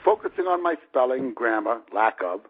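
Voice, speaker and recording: Husky guy in his 50s reading his book out loud, kinda wry, dull lo-fi sound. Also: medium pitch, very animated, moderate pace. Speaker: novelist reading own book. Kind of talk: audiobook narration of a personal prologue. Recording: muffled, lo-fi radio-like sound.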